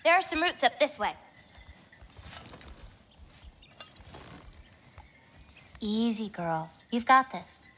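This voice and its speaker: Expressive, self-reliant teenage giantess. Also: female voice, a little high, moderate pace. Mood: upbeat. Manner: natural, expressive, conversational. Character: gentle, self-reliant teenage giantess, a painter and animal keeper. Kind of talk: naturalistic video game acting.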